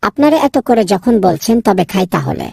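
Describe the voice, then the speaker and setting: A woman voicing a naive, proud young scholar son in a cartoon, easily exasperated, with a husky, creaky voice. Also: mid-range voice, huge pitch swings, brisk, warm tone, no echo, clean studio sound, heavily acted. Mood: obliging. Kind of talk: cartoon dubbing.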